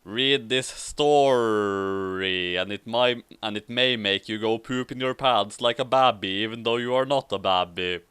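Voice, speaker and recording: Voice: mid-range voice, unhurried, hardly any pauses, a bit bright, clear, resonant tone, no room sound, studio quality. A host doing a comic dramatic reading of fan fiction with casual banter, theatrical and prone to stumbling and repeating phrases.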